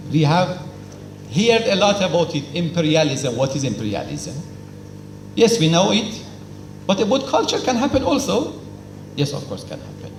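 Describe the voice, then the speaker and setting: High-voiced man giving a slow, lively lecture, asks himself questions and answers them, echoey hall, faint noise. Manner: lecturing, asks and answers his own questions, emphatic repetition; non-native English with simple grammar. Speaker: speaker at a cultural event. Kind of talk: formal speech. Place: hall at a celebration.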